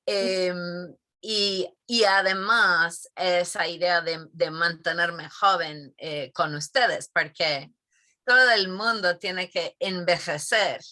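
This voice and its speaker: A middle-aged woman teacher answers interview questions at an unhurried pace. She thinks aloud with fillers and frequent tag questions. Her voice sits fairly low but sounds crisp and bright. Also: steady tone. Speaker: Spanish teacher.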